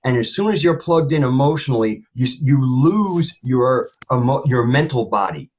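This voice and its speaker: A man with a slightly husky voice of average pitch speaks as a panelist. He gives his opinions emphatically, rambling through points and repeating words to press them home. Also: expressive, moderate pace, a little mellow.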